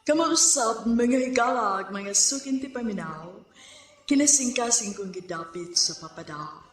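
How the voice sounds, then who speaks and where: A woman from the Philippines hosting a radio drama program. She speaks very slowly and formally at a middle pitch. She sits in an echoey space, away from the mic. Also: a bit bright, far from the mic. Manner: slow, formal announcer delivery.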